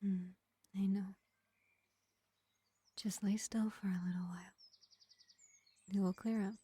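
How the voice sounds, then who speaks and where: A woman playing a gentle, ancient pacifist vampire in an intimate fantasy roleplay, speaking in an unhurried, soothing half-whisper. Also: medium pitch, little pitch movement, husky. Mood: soft, confiding. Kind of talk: slow intimate ASMR-style fantasy roleplay.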